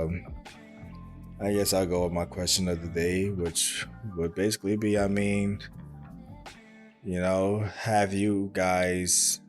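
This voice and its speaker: Calm, soft-voiced young man musing. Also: sits low. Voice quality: soft, calm voice that does not project. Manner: rambling, thoughtful, many fillers and restarts. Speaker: podcast host. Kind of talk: reflective solo podcast monologue.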